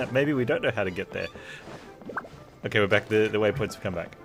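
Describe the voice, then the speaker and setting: A man's voice: on the low side, fairly quick, gravelly. A man doing casual live gameplay commentary as a streamer, with joking, wry asides. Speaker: game streamer. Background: game music.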